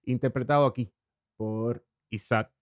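A man from Cuba, hosting a morning radio program in a slow, lingering monologue with hesitant fillers. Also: mid-range voice, fairly even intonation, no room sound, clean studio sound. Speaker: radio host.

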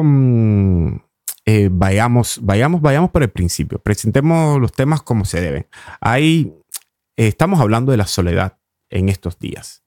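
A man with a Cuban accent presenting a reflective music radio show in an unhurried, deliberate way, pausing often. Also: on the low side, a little mellow, dry recording, clean studio sound. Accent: Cuban Spanish. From Cuba. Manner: unhurried, deliberate, with long pauses. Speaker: radio host. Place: radio studio.

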